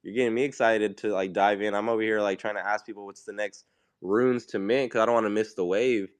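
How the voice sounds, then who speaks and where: A laid-back man with a cold, his voice congested but smooth and a little low. He chats as a guest and is full of fillers. The sound is close and dry. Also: fairly even intonation, fairly quick, dry recording. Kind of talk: casual crypto chat.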